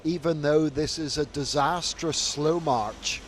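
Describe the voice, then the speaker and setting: A male news correspondent delivers a scripted report. His voice sits a little high for a man, and the delivery is that of formal broadcast narration. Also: slow pace, slight background noise.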